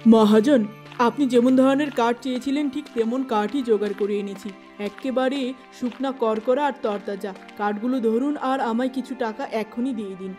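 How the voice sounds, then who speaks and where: A woman's voice: fairly high pitch, moderate pace, dark tone, faint music behind, natural voice. A woman voicing a poor, weary middle-aged father in a cartoon moral tale, pleading and plaintive.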